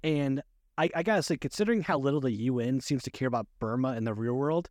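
A man co-hosting a casual movie chat, speaking haltingly, repeating words and often chuckling. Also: mid-range voice, a little mellow, no echo, clean studio sound. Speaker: podcast co-host.

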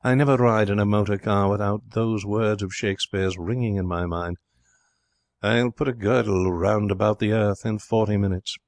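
A man's voice: lowish voice, fairly even intonation, moderate pace, darkish tone, dry recording, natural voice. A man voicing an affected young poet, gushing and pompous in a flowery way, for a storybook. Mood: rapturous. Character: affected, soulful young male poet, slim and precious.

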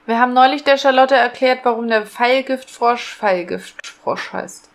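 A woman's voice: a little high, normal speed. A woman taking part in a casual podcast conversation. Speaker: podcast participant. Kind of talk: casual chat.

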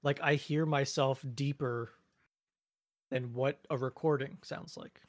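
A man's voice: medium pitch, fairly even intonation, measured pace, no room sound, studio quality. A man co-hosting a podcast, chatting casually, rambling and trailing off mid-sentence. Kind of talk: casual chat. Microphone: studio mic.

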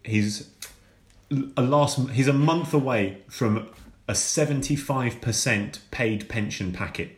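A man with a slow, unhurried delivery tells a story as a podcast co-host. He laughs often and breaks into silly voices for the people in the tale. The room around him is echoey and roomy. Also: mid-range voice. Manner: laughs often, drawls and acts out phone calls. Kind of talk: comedic storytelling chat.

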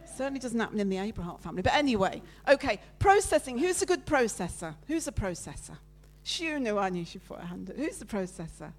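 A woman giving a lively, loud, chatty sermon with slides, talking with her congregation. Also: medium pitch, fast. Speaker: preacher giving a talk at church. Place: church meeting room with listeners present.

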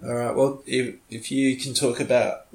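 A man chatting casually as a podcast co-host doing movie commentary, nasal and stuffed up with a cold, a little halting as he goes. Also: medium pitch, little pitch movement, vocal fry, darkish tone. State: sniffly with a cold. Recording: roomy echo, music behind.

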